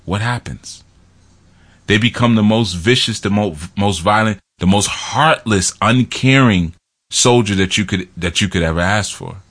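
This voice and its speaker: A man's voice: lowish voice, unhurried, fairly bright tone. A man hosting casual film commentary, rambling and digressive with lots of fillers.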